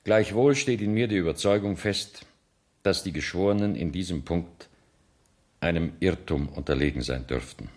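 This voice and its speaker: Senior judge for radio drama: low voice, formal, measured, earnest and conscientious. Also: male voice, darkish tone. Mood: formal dictation. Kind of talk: radio play acting in standard German.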